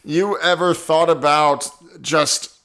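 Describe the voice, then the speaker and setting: A man's voice: a little high, steady tone, slow, bright, forceful tone, exaggerated voice. A man voicing a hesitant, awkward celebrity love interest in an improvised comedy radio play. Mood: awkward, earnest.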